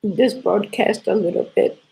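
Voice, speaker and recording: Knitting teacher, woman, hoarse from a cold; gravelly, husky, unhurried, repeats points. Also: mid-range voice, warm tone, rough recording. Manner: slow, repetitive explaining with restarts. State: sore throat, congested, coughing. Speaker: knitting teacher hosting a live stream. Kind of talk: casual live knitting lesson.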